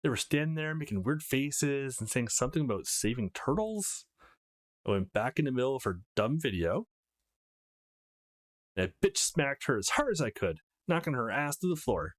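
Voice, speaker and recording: Brash, cheeky teen girl played by a man, crude swagger, very animated pitch, visual novel character. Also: normal speed, lightly acted. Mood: boastful. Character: brash, foul-mouthed redheaded teenage girl, teasing and bragging. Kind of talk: reading visual novel dialogue aloud.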